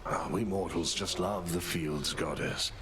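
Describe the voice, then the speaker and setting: A man's voice: deep voice, fairly even intonation, measured pace, noisy background. A man playing a courteous, gloomy mortal warrior in a video game, wry and understated.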